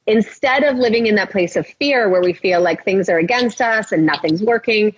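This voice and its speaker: A woman's voice: mid-range voice, normal speed, slight background noise. A woman guest, a life coach, talking in an encouraging, motivational way in a casual podcast conversation. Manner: encouraging, motivational, talks in long flowing runs. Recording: muffled lo-fi audio.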